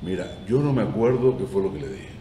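A man's voice: lowish voice, sing-song, a little fast, dark tone. A middle-aged Cuban man with a Cuban Spanish accent, telling a story as a talk show guest and acting out the voices he quotes. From Cuba. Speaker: guest actor. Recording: roomy echo, distant mic, audible room noise.

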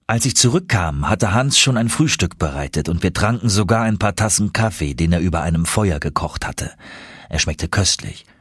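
A man narrates an audiobook in a low, husky voice. His storytelling is expressive and dramatic. The sound is dry, with no room around it. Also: normal speed, no echo. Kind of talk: scripted first-person story narration.

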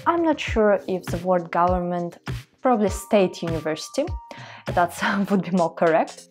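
A woman's voice: on the low side, expressive, moderate pace, faint music behind. A young woman from Russia with a Russian accent talks casually to the camera from a desk in a small room at home, earnest and chatty, with careful English and small restarts. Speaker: vlogger.

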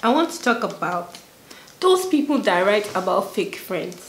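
A young woman from Nigeria chatting as a guest, lively and joking. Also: mid-range voice, normal speed, reverberant, a little hiss or hum. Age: young adult. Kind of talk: casual chat. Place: small room indoors.

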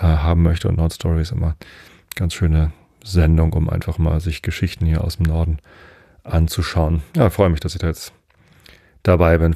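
A man doing A low, gravelly middle-aged man from Northern Germany, hosting a sleep podcast in a dry home studio. His voice is dark and husky, and he drifts hesitantly from thought to thought. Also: dry recording. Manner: meandering, hesitant, many fillers and digressions. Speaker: podcast host. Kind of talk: rambling sleep podcast monologue.

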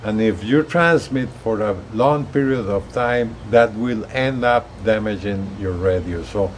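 A man in his sixties giving a casual explanatory vlog talk outdoors, haltingly, often repeating words. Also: on the low side. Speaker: gadget reviewer. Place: outdoors on an apartment balcony. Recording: steady outdoor ambient noise.

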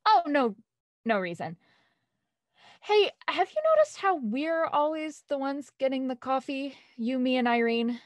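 A woman's voice: high-pitched, animated, a little slow, no room sound. A woman playing an anxious, earnest young woman in an audio drama, hesitant yet insistent. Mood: hesitant, probing. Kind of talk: naturalistic audio drama read-through. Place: home, on a video call.

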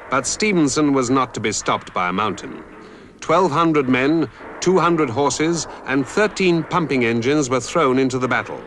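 A man giving measured, dramatic scripted documentary narration. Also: mid-range voice, fairly bright tone, slight background noise. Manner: measured, dramatic storytelling delivery.

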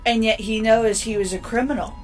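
A woman's voice: fairly high pitch, steady tone, brisk, soft background music. A woman co-hosting a gaming show with casual, blunt chat over gameplay.

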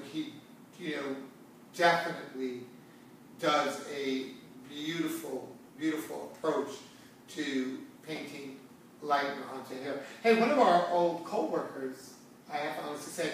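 A man's voice: on the high side, vocal fry, fairly bright tone. A middle-aged man hosting a conversational, educational salon talk, addressing viewers emphatically and repeating phrases to make his point. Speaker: salon talk show host, hair stylist. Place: indoor hair salon seating area. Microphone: far camera. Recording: distant mic in echoey room, background hiss.

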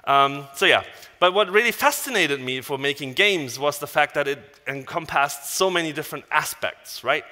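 A man's voice: a little high, moderate pace. A man in his thirties with a German accent, a native German speaker, giving an informal, joking tech talk on stage as a conference speaker. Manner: animated, joking, casual swearing. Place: large conference hall stage. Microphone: headset. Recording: large hall reverb.

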